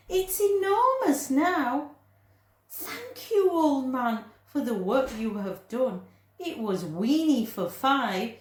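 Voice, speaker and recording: Woman as a flustered little old storybook lady, dramatic, exclamatory, slow-paced, echoey room. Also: a little high, voice pushed up. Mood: relieved, grateful. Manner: theatrical, exclamatory.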